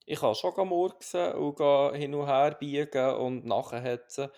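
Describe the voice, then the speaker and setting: A man with a Swiss German accent, co-hosting a podcast in a calm, reflective chat with many restarts. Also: a little high, measured pace. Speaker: podcast co-host.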